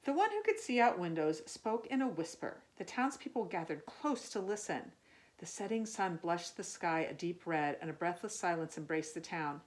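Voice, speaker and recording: A woman reading a children's picture book aloud as a storyteller, expressive and musing, as if wondering aloud to young listeners. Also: fairly low pitch, moderate pace, rough recording. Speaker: youth ministry storyteller.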